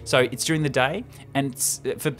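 A young man talks as a podcast host, retelling a story informally and with enthusiasm. His voice is medium in pitch and close on the mic in a small room, with quiet music behind it. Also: fairly even intonation, fairly quick. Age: young adult. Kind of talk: casual retelling of a film script. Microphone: close podcast microphone on stand. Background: faint music.

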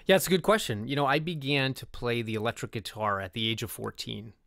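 A man's voice: medium pitch, fast talker, vocal fry, fairly bright tone, no echo, clean studio sound. A middle-aged man answering interview questions in a conversational, talkative, enthusiastic way. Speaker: interview guest, music composer.

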